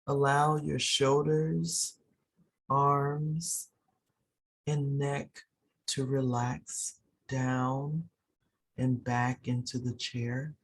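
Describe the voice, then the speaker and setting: A person leading a guided meditation in a soothing, unhurried way, pausing between short phrases. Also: medium pitch, level tone. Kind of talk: guided meditation, slow instructions.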